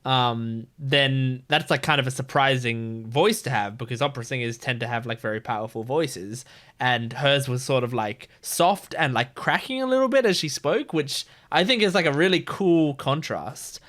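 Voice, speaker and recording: A man's voice: medium pitch, expressive, moderate pace, a bit bright. A man hosting a reaction video, casually thinking aloud in loose, rambling commentary.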